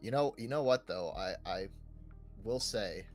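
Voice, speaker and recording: A young man of average pitch hosts a casual gaming chat. His intonation moves around a lot and his phrasing is informal and conversational, with music clearly audible underneath. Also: animated, a little slow, a little mellow. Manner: informal, conversational, repeats "like" often. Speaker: podcast host. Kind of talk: casual chat about video games.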